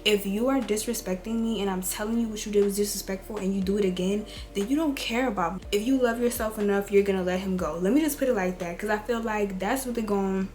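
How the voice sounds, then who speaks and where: A woman in her twenties with a raspy, rough voice from a cold, giving casual advice straight to her viewers. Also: medium pitch, fast talker, few pauses, darkish tone, faint music behind. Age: 20s. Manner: chatty, direct, addresses viewers as y'all and girl. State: hoarse from a cold. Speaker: beauty and lifestyle vlogger. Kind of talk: casual girl-talk advice.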